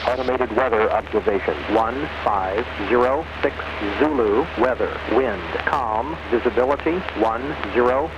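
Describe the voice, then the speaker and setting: An automated male voice reading airport weather in clipped, measured phrases. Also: medium pitch. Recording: muffled radio broadcast, engine noise.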